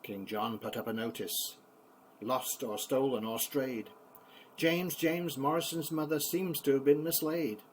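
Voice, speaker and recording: A man's voice: mid-range voice, fairly even intonation, measured pace. Older man reading verse, noisy recording. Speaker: grandfather reading poems to grandchildren. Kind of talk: expressive bedtime poetry reading.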